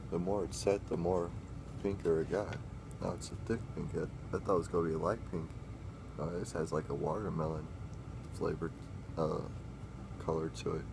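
A young man with a low, gravelly voice rambles through a casual taste test, often stumbling and correcting himself. He sits close to a phone camera in a small indoor room. A steady air-conditioning hum runs behind him. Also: moderate pace. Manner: rambling, self-correcting, excitable when tasting. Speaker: drink-mixing vlogger.

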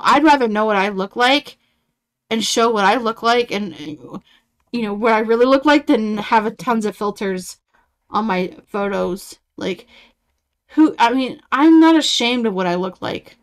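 A woman in her thirties giving casual reaction commentary in a blunt, sarcastic and profane way. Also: mid-range voice. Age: 30s.

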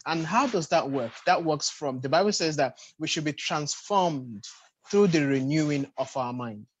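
A man in his thirties with a husky, somewhat high voice makes his case in a panel discussion. He explains step by step and repeats short phrases to stress them. The sound is dry, over a video call. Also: lively intonation, moderate pace, no echo, highs cut off. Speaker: panelist at a church youth congress. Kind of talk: earnest, unscripted teaching in a panel discussion. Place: home room with bookshelves, video call.

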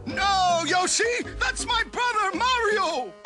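A man voicing a kindly, nervous, exasperated younger plumber brother in a cartoon, with a jittery, excitable manner. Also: very high-pitched, slow pace, music in the background, heavily acted. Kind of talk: animated cartoon hero acting.

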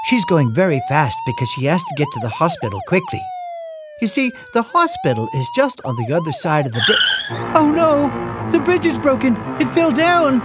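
A woman narrating a children's cartoon in a playful, animated way, with chatty asides to her young listeners. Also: sits high, moderate pace. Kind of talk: playful scripted narration for toddlers. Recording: music behind the voice.

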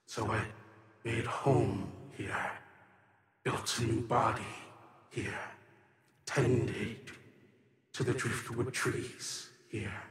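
A man voicing an ancient, bitter, otherworldly sister in a tabletop game, solemn, halting and dramatic. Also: fairly low pitch, fairly even intonation, a bit bright, exaggerated voice. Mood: solemn, resigned. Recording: long reverb on the voice.

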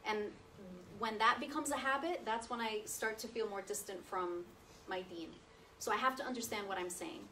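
A woman's voice: on the high side, moderate pace, bright, forceful tone, slight background noise. A woman in her thirties giving a chatty, conversational talk, seated at a table and often checking in with her listeners. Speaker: guest speaker. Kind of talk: personal testimony talk to an audience. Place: meeting room with projector screen. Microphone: far camera, speaking seated at a table. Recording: distant roomy sound from across the room.

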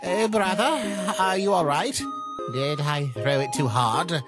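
A man voicing a loud, fussy, anxious skeleton younger brother in a comic dub, theatrical and exclamatory. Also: high-pitched, slow, loud music behind the voice. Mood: concerned. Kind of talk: fan comic dub acting.

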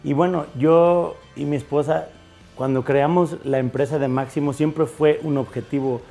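A man in his forties from Mexico, a chef answering interview questions in a bar, halting with many fillers and restarts, recorded on a lapel mic. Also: fairly high pitch, steady tone, vocal fry, dark tone, faint music behind. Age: 40s. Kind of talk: interview conversation. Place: bar with bottle shelves.

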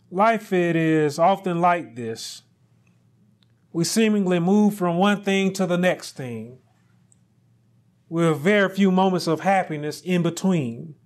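A middle-aged male pastor preaching a sermon, speaking deliberately and rising to loud, emphatic peaks. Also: on the high side.

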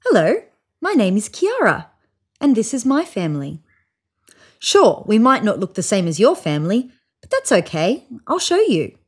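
A woman in her thirties reads a children's picture book aloud. Her mid-pitched voice swings widely in tone, with expressive storytelling aimed at young listeners. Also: normal speed, breathy edge. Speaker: storybook reader. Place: small room indoors, seated on a couch.

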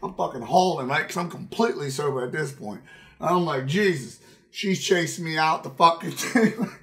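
A man in his thirties telling a casual, profane story as a game streamer, in an animated, emphatic way, repeating phrases for effect. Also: a little high, normal speed. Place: indoor room at a streaming setup.

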